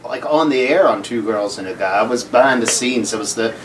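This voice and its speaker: A man in a radio job tells a story in a relaxed, self-deprecating way. His voice has an ordinary pitch and sounds a little distant from the mic. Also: fairly quick, noisy background. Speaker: radio co-host and program director. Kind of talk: casual interview chat.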